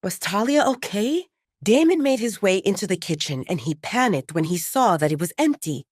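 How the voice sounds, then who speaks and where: A woman's voice: mid-range voice, very animated, normal speed, dry recording, studio quality. A woman narrator telling a story in a dramatic audiobook style.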